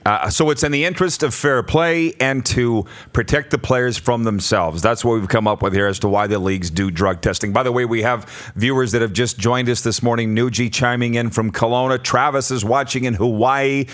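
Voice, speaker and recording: A man's voice: mid-range voice, steady tone, a little fast, few pauses, a bit bright. A man hosting a live sports talk discussion, posing questions to steer the conversation. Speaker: sports talk show host.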